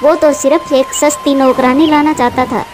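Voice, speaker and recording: A woman narrates a scripted story for children. Her voice is very high, and music plays behind her narration. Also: steady tone, normal speed.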